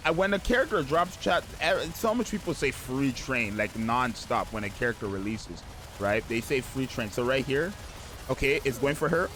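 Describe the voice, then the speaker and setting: Man, slightly raspy, freshly woken, casual game commentary, mid-pitched, faint music behind. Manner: rambling, keeps asking "right?". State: just woke up. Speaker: gaming video host.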